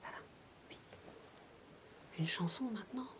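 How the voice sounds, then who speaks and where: Whispering woman, soft ASMR babysitter. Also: mid-range voice, animated, unhurried. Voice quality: mostly whispered, breathy. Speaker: ASMR roleplayer playing a babysitter. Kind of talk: soft-spoken ASMR bedtime storytelling. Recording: noisy homemade recording without binaural mic.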